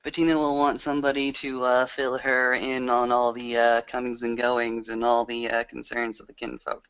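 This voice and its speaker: A man improvising a tabletop roleplay, narrating and speaking in character with some halting delivery. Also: mid-range voice, completely flat delivery, fairly bright tone, clean tone, dull audio. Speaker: game master and roleplayer.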